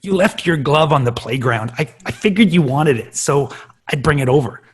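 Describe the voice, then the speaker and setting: An adult plays a nerdy, friendless schoolboy for a sitcom read. The voice is husky and swings widely in pitch. He is earnest, awkward and quick to run himself down. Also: expressive. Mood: eager, awkward. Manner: eager, awkward, self-deprecating.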